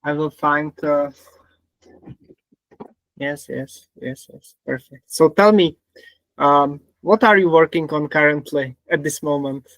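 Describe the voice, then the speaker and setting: Czech-accented man hosting, slightly high voice. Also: slow pace, long pauses, a bit bright, no echo, poor audio. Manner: repeats himself, simple phrasing. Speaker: podcast host. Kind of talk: casual interview talk.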